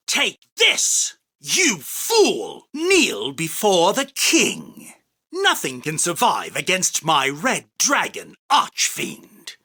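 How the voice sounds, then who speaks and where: A man's voice: high-pitched, bright, forceful tone, breathy edge, exaggerated voice. A male voice actor playing an arrogant, grandiose self-proclaimed king of card duelists, with a pompous, commanding, theatrical delivery for a video game. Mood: fierce, commanding. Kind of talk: video game character battle lines.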